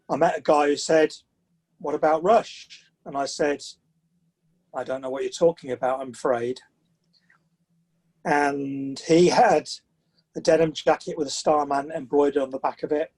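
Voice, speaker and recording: A man's voice: on the high side, steady tone, normal speed, long pauses, darkish tone, slightly rough. A man of sixty from the south of England with a southern English accent, chatting and telling anecdotes as a podcast interview guest. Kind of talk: conversational interview storytelling.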